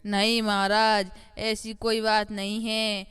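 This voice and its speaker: A woman voicing a humble, ageing mother goat in a cartoon fable, speaking in a polite, measured, deferential way. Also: medium pitch, level tone, fairly bright tone, clean tone, no echo. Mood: respectful. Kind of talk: Hindi animated moral-story voice acting.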